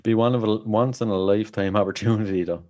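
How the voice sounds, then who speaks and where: A man with an Irish accent hosting a podcast, easygoing and quick to laugh. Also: on the low side, fairly even intonation, a little mellow, lo-fi sound. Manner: easygoing, laughs a lot, brief reactions. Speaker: podcast host. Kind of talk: casual podcast chat.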